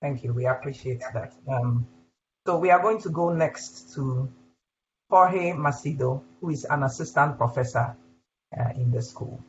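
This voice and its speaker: A man moderates a video call panel, introducing each speaker in a soft, hesitant way. His voice is middle-pitched, and it sounds dull and a little distant over the lo-fi call audio, with faint noise behind it. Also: unhurried.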